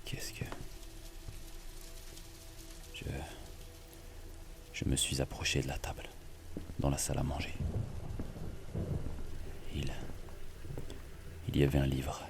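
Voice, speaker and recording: An actor playing a haunted, hesitant man in a psychological horror audio drama, speaking in hushed, halting phrases that restart on their first words. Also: completely flat delivery, noisy background, exaggerated voice. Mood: uneasy, hushed. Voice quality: very deep, breathy whispering. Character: haunted, hesitant man recounting exploring an eerie old house. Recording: dramatic music bed with rain. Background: music and rain.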